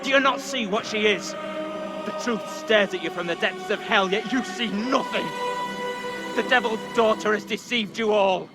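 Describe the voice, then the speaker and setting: An actor playing a zealous, accusing seventeenth-century reverend in a video game, with pompous, fervent, oratorical delivery. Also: male voice, sits high, moderate pace, loud music behind the voice. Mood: furious. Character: zealous, accusing 17th-century Puritan reverend, a grown man. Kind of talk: dramatic video game acting.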